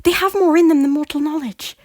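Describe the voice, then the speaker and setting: A woman's voice: sits high, a little fast, no echo, clean studio sound, close to an everyday voice. A woman playing a guilt-ridden former queen. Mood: secretive. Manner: hushed, often whispering. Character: guilt-ridden former Scottish queen, defiant yet remorseful. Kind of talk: amateur audio drama acting. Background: faint music.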